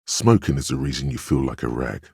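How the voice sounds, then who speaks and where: A man voicing a video game character's inner voice of willpower, solemn, measured and reassuring, giving earnest counsel. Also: extremely low pitch, expressive, gravelly, a little mellow, husky, dry recording, clean studio sound, exaggerated voice. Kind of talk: scripted inner-voice narration for a role-playing game.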